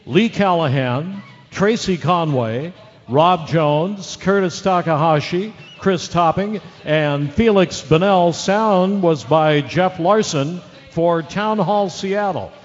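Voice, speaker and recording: A man working as a radio announcer, reading show credits live in a playful, drawn-out style. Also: high-pitched. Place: live theater hall. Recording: dull hall recording with faint music.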